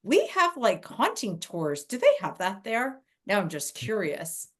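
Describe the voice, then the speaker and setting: A woman's voice: medium pitch, huge pitch swings, unhurried. A woman from Portugal hosting a casual interview, enthusiastic and curious. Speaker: host.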